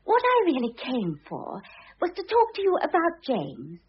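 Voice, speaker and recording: Through the thin sound of an old radio play comes a young second wife, all affected innocence. Her high voice swings wildly up and down. She gushes and wheedles theatrically, thoroughly absorbed in herself. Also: huge pitch swings, measured pace, muffled recording, heavily acted. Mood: earnest confiding. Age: young adult. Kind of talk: classic radio drama acting. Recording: thin band-limited old radio recording.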